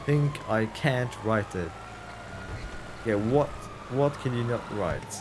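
A man's voice: mid-range voice, animated, takes it slowly, background noise. Laughing young male game streamer. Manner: incredulous, laughing, teasing back at chat. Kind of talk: casual live-stream chatter reading chat.

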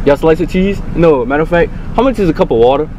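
A man's voice: fairly high pitch, expressive, fast. A young man casually prank-ordering at a drive-thru from inside a car. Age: young adult. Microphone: handheld camera in the car. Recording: noisy car and outdoor ambience.

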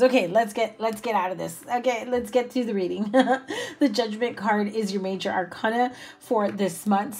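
A young woman reads tarot cards at a table in a small indoor room, her voice slightly hoarse from a cough. She talks in a chatty, reassuring way, with plenty of fillers. Her pitch sits in the middle of the usual range for a woman. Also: a little mellow. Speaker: tarot reader. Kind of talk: casual tarot reading to camera.

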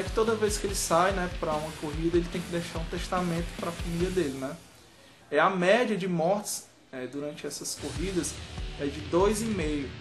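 A man, talking casually to camera as a vlogger, in a halting way full of verbal tags. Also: high-pitched. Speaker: motorcycle vlogger. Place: small room at home, indoors. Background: music.

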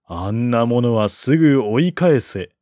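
A man's voice: medium pitch, lively intonation, measured pace, dark tone, no room sound, clean studio sound, lightly acted. A man voicing a scornful, irritable king in an audiobook, curt and haughty. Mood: irritated. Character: scornful, irritable male king, quick to dismiss. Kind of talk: audiobook character voice.

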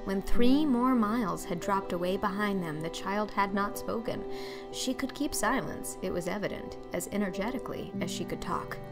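Woman reading a storybook audiobook, expressive narration, music playing behind her. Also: medium pitch, normal speed.

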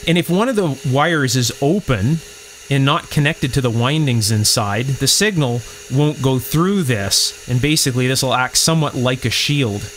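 A man's voice: mid-range voice, moderate pace, fairly bright tone, slight background noise. A man with a mid-range voice talks through repair work as he does it. He explains each step methodically, in the manner of someone giving a practical demonstration. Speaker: electronics repair host. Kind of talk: hands-on repair demonstration, talking while working.

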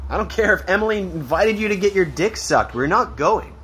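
A man's voice: sits high, expressive, a little fast, a bit bright. A man playing a cocky, teasing American teenage boy in a naturalistic audio drama, with sarcastic banter. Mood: exasperated. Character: cocky, teasing, foul-mouthed teenage boy, loyal boyfriend. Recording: clear background ambient noise.

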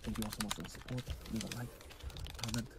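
A man's voice: fairly low pitch, fairly even intonation, fast talker. A man hosting an ASMR video, chatting casually to his viewers. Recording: loud noise, clicking.

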